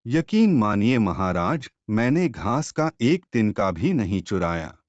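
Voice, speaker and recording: Guy doing a sly smug fox for a cartoon, preachy, lowish voice, takes his time. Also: sing-song, takes it slowly, darkish tone, no echo, highs cut off. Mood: pleading, self-excusing. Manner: self-righteous, explaining. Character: sly self-satisfied fox preaching restraint.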